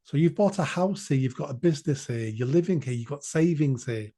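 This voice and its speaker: A man's voice: fairly high pitch, lively intonation, fairly quick, mellow. A man, a podcast guest speaking bluntly and emphatically, repeating phrases for force. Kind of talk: political podcast discussion.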